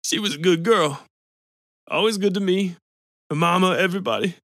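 A man voicing a bitter, weepy man with a smoker's rasp who pleads and breaks into tears. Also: fairly high pitch, brisk, a bit bright, no echo, studio quality. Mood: tearful.

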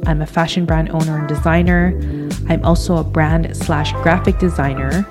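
A woman's voice: low voice, steady tone, moderate pace, over music. A woman in her thirties from Toronto with a Canadian accent, hosting a solo podcast in a casual, candid, slangy way. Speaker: podcast host. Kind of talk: solo podcast monologue, conversational.

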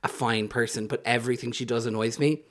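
A man's voice: medium pitch, fairly even intonation, fast. A man chatting casually as a podcast guest, making dry, wry remarks. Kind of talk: casual chat.

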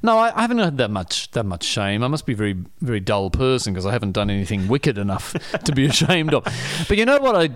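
This is a middle-aged man in relaxed conversation, poking fun at himself and breaking into laughter. His pitch leaps high and drops low as he talks. The sound is dry and close to the mic. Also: fast, few pauses, dry recording. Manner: self-deprecating, laughs often, many restarts. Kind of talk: casual conversational storytelling.

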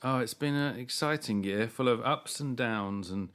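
A man's voice: mid-range voice, moderate pace, a bit bright. A man co-hosting a podcast in casual chat, mock-enthusiastic and sarcastic, coming down with a cold and coughing at times. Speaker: podcast co-host.

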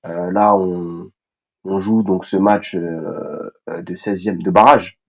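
A man co-hosting a casual football podcast, speaking in a measured way and repeating words for emphasis. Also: sits low, fairly even intonation, highs cut off. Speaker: podcast co-host.

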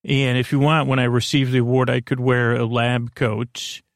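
A man hosting a sleep podcast in a creaky voice, lulling and soothing, meandering off into tangents. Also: medium pitch, flat delivery, normal speed, no echo, studio quality. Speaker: podcast host. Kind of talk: rambling bedtime sleep-podcast monologue. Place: small closet.

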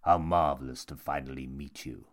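A man's voice: rumbling low, fairly even intonation, moderate pace, voice pushed down. A man voicing a sinister monk and self-styled holy fool in an audiobook, formal, grandiose and menacing. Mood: smooth menace. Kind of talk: audiobook character voice.

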